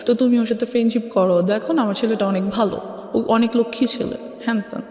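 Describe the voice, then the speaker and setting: A woman's voice: on the high side, steady tone, fast, a little mellow. A woman with a Bangladeshi accent telling a personal story as a guest in a conversational way, often switching into English. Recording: echoey long reverb, music bed. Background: background music.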